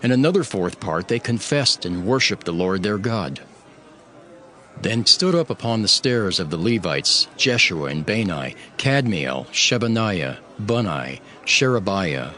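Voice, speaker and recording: Casting: male scripture narrator, low forceful voice, solemn and measured, faint music beneath.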